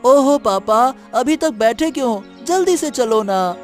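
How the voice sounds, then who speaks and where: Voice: medium pitch, animated, slow pace, faint music behind. A performer playing a carefree, eager young village boy in a cartoon moral story. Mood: cheerful, eager.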